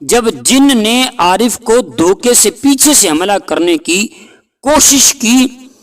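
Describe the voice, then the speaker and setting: A man narrating a scripted folk tale in a deliberate, dramatic storytelling manner. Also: high voice, lively intonation.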